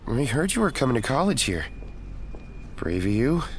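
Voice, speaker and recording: A man's voice: medium pitch, big pitch swings, moderate pace, slight background noise, lightly acted. A man playing an earnest young hero, narrating and acting his own story in a dramatic audio drama style. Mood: awkward, sincere. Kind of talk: first-person audio drama narration and dialogue.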